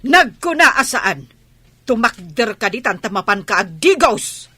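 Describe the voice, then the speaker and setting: A woman playing a nagging, bossy wife in a radio comedy. Her voice is highish and piercing, very dry and close, giving orders as she scolds. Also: sing-song, a little slow, bright, forceful tone, breathy edge, dry recording, heavily acted. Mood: scolding. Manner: commanding, nagging. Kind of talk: Ilocano comedy radio drama acting.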